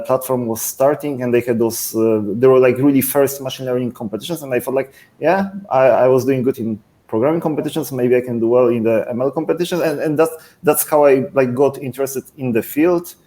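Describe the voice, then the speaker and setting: A man in his thirties, a startup CTO, explaining his career plainly on a video call in a relaxed way with casual fillers. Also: medium pitch, brisk, few pauses. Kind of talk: panel discussion answers.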